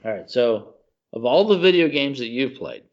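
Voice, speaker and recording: A man co-hosting a podcast in casual chat, gushing and excitable, calling his friend "dude.". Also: mid-range voice, normal speed, muffled recording. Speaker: podcast co-host.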